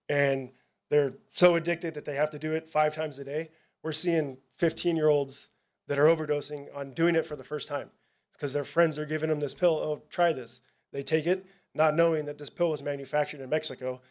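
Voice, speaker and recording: Casual, halting man presenting to parents. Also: a little high, steady tone, a little mellow. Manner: casual, halting, many fillers and restarts. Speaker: police narcotics officer. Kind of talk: presentation at a public town hall.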